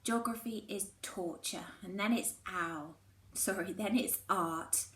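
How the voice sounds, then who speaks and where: A woman teacher reading a children's novel aloud in an expressive storytelling style. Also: medium pitch, slow pace. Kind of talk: expressive storybook read-aloud with acted dialogue. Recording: roomy echo with faint background noise.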